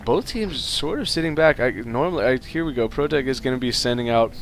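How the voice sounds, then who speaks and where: A man with a congested, stuffed-up voice calls a strategy match on his own, chatty and loose with lots of "uh"s. His pitch sits right in the middle for a man, and his play-by-play runs on in quick bursts of commentary. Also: slight background noise. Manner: casual play-by-play, many fillers, rising excitement in fights. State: stuffed-up nose. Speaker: esports caster. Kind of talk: solo game commentary.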